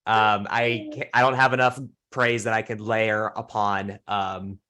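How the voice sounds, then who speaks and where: A man's voice: fairly low pitch, level tone, measured pace, sharp tone, smooth. A man hosting an online Q&A in a casual, informal and appreciative way. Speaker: host.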